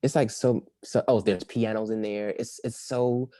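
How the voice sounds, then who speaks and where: Male artist, a touch raspy, chatty and loose, dry recording. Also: fairly low pitch, moderate pace, dry recording. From Maryland. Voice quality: a little raspy. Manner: rambling, animated, breaks into song. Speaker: musician guest. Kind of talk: casual interview chat.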